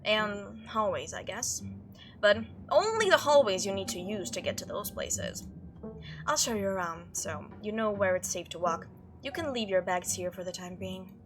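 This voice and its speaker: A woman's voice: mid-range voice, animated, normal speed, natural voice. An icy, dour cousin from a visual novel, played by a woman reading it aloud, speaks in clipped, guarded phrases with dry coldness. Music sits behind the voice. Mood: stern, dry.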